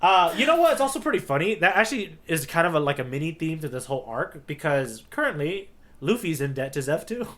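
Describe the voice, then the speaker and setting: A man co-hosting a podcast in casual, slangy chat, with an excitable manner. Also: a little high, fast talker. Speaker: podcast co-host.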